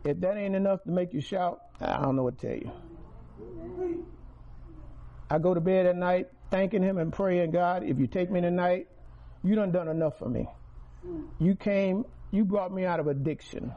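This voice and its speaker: A man's voice: high voice, animated, moderate pace, long pauses, highs cut off. Emphatic sixty-something man preaching. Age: 60s. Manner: emphatic, repeats key words, rises in volume on stressed phrases. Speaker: speaker giving testimony to a congregation. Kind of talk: preaching testimony.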